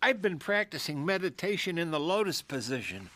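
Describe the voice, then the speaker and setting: A man's voice: on the high side, very animated, brisk, a bit bright, no echo. A male actor playing a young stoner friend in a radio drama, breezy and enthusiastic. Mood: breezy, inviting. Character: laid-back young stoner buddy into meditation.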